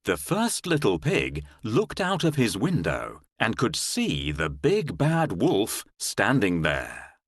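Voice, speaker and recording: Male children's storybook narrator: measured pace, hugely varied intonation, dry studio sound. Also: fairly low pitch, huge pitch swings, slightly rough, dry recording. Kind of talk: scripted fairy tale narration for children.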